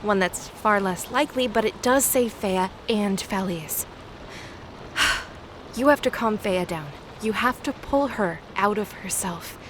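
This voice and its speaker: A woman playing a bold young warrior goddess who sees the future, measured, confident and ominous in an audio drama. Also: medium pitch, background noise, natural voice. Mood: stern. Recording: loud dramatic music behind the voice.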